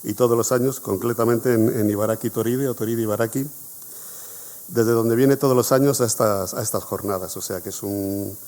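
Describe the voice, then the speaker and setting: A man's voice: lowish voice, steady tone, normal speed, darkish tone. A man hosting a history conference, speaking off the cuff to the audience with many fillers and repeated words. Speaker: conference coordinator and host. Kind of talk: spontaneous presentation to an audience.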